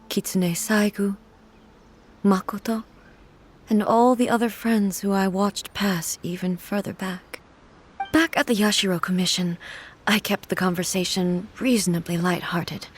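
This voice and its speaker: A woman voicing a reserved, grieving divine female ruler in a video game cutscene, speaking formally and softly, often close to a whisper. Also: mid-range voice, close to an everyday voice. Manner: measured, formal, soft-spoken, often near a whisper.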